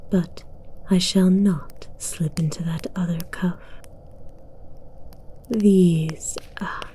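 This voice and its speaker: Woman playing a slow, whispering dragon queen. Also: lowish voice, fairly even intonation, warm tone, slight background noise. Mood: intrigued, smug, then regal, seductive. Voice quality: breathy whisper. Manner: slow, regal, formal, teasing. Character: imperious, amused, seductive female dragon queen. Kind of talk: whispered ASMR roleplay.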